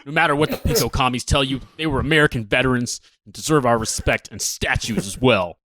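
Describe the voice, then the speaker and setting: A man's voice: mid-range voice, brisk, bright, forceful tone, no echo, close to an everyday voice. A man playing a zealous, pompous gung-ho Marine with a grave, bombastic manner in a comedic radio play.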